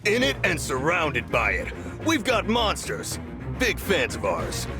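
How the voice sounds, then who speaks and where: A sly game bandit who gloats and taunts. His slightly high voice takes its time and swings widely in pitch, with music behind. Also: wildly swooping pitch, unhurried. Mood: gloating. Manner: gloating, echoes his partner's words. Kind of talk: video game cutscene acting.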